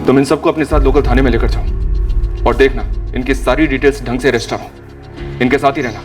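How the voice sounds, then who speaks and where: An actor playing a tough, sarcastic police officer who gives orders and threats in a TV crime drama. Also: male voice, medium pitch, steady tone, fairly quick, far from the mic, natural voice. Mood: firm, authoritative. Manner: commanding, menacing, sarcastic. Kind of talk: dramatised crime reenactment acting. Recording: off-mic with background music.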